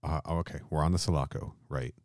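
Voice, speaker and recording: A man with an extremely low, deep voice chats as a podcast host. He is informal and a bit sweary, recorded dry with no echo around him. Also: steady tone, quick pace, darkish tone. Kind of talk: casual podcast discussion.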